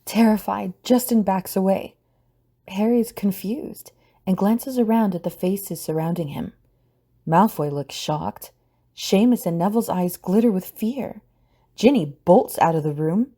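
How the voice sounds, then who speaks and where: A woman's voice: medium pitch, warm tone. A woman narrating a scripted audio drama as storyteller.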